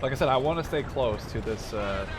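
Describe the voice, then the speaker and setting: A young man talks through a video game in a casual, rambling way. His mid-pitched voice is informal and expressive. Gunfire and artillery from the game sound clearly behind him. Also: a little fast, noisy background. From Netherlands. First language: Dutch. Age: 20s. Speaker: gaming video host. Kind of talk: casual gameplay commentary. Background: video game battle sounds.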